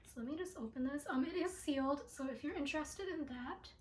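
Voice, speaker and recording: High-voiced raspy young woman vlogging. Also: a little fast, a little mellow. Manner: chatty with many ums and likes. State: raspy from allergies. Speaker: beauty product reviewer. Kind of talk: casual product review vlog. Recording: echoey room.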